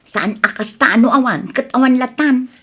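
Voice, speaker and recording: A woman's voice: high voice, moderate pace, breathy edge. A woman acting a nagging, pleading village wife and mother short of money in an Ilocano comedy radio drama, with an Ilocano accent. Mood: nagging, exasperated.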